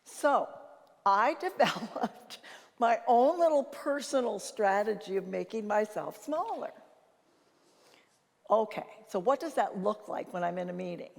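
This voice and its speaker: An older woman with a naturally loud voice speaks in a big, reverberant hall. Her pitch sits in the middle range for a woman. She talks informally as a conference speaker, telling stories and playing out quoted exchanges. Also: expressive, a little slow, creaky. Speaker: conference speaker and leadership consultant. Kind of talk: informal conference talk with anecdotes, answering questions.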